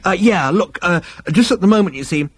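A man voicing a nervous, evasive young alien from a comic science fiction story, stammering and pleading in hurried bursts. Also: high voice, expressive, husky, dry recording, pitched above the performer's own voice. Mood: feverish pleading. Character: flustered, evasive young alien great-grandson.

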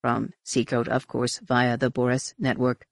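A woman's voice: medium pitch, a little slow, no echo, studio quality, voice pushed up, natural voice. A woman voicing a pompous, defensive male alien zealot in an audiobook, haughty and protesting. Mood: condescending.

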